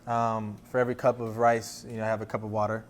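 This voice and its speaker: A man's voice: fairly low pitch, level tone, fast. A man giving an informal, playful cooking demonstration, instructing as he goes. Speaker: athlete cooking a dish as guest chef. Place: indoor kitchen.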